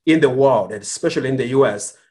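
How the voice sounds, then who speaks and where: A man's voice: mid-range voice, fast talker, a little mellow. A man from Kenya with a Kenyan accent, a guest entrepreneur telling his story earnestly, with many fillers and restarts. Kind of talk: conversational storytelling.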